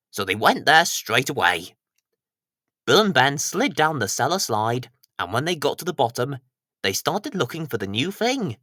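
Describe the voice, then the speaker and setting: Male children's storyteller, scripted narration, very animated intonation, close dry sound. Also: mid-range voice, dry recording.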